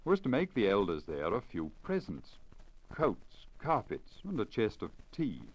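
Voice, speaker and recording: A man's voice: fairly low pitch, huge pitch swings, a little slow, husky, exaggerated voice. A man voicing a boastful, persuasive travelling merchant in a storybook, speaking formally and emphatically on a crackly old disc recording. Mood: confident, matter-of-fact. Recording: crackly, dull 1950 lacquer disc recording.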